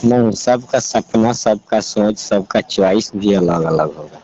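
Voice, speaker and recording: A man's voice: lowish voice, takes it slowly, warm tone. A man with a Bihari accent chatting casually on a phone call, explaining things in a colloquial way. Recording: dull lo-fi call recording, clear noise.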